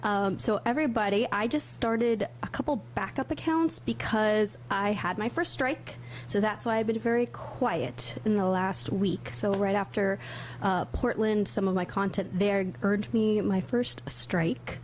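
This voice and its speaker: A woman hosting a casual livestream, chatty and quick to laugh, thinking aloud as she sets things up. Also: medium pitch, slight background noise. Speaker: livestream host.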